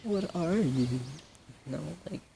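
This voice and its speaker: A man's voice: high-pitched, animated, takes it slowly, dark tone, full character voice. A young man playing a supportive best friend in an audio roleplay, talking casually and hesitantly in rambling, trailing phrases, on a noisy recording. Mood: incredulous. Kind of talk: audio roleplay addressed to the listener, casual improvised chat.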